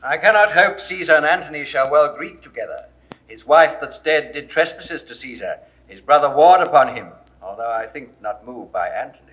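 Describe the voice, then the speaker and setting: An actor playing a sober, cautious Roman counsellor in a 1960s Shakespeare audio drama, speaking reasoned verse gravely. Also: male voice, on the high side, expressive, moderate pace, a bit bright, poor audio.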